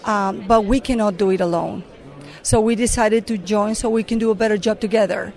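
A woman's voice: medium pitch, steady tone, brisk, darkish tone. A middle-aged woman from Mexico with a Mexican accent, a corporate affairs director giving earnest answers in an interview, with many fillers and restarts.

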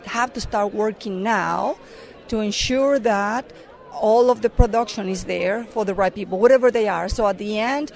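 A middle-aged woman from Mexico with a Mexican accent, a corporate affairs director giving earnest answers in an interview, with many fillers and restarts. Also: mid-range voice.

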